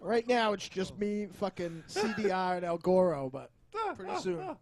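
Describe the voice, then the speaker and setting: A man's voice: sits high, very animated, normal speed, very noisy. A man co-hosting a podcast in casual, foul-mouthed banter, with a crude, mock-indignant, ranting way of talking. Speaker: podcast co-host. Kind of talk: casual, profane banter among friends.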